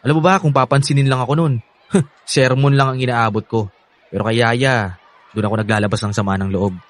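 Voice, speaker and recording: A man's voice: medium pitch, steady tone, brisk, lightly acted. An actor playing an affectionate, eager young man with a Filipino accent in a radio drama, chatty and earnest. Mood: earnest, candid. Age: young adult. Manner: earnest, chatty, laughs often.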